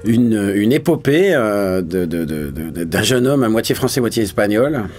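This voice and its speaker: Low-voiced Frenchman chatting casually. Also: sing-song, moderate pace, a little mellow, soft background music. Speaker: voice actor being interviewed. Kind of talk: casual interview talk. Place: small recording studio.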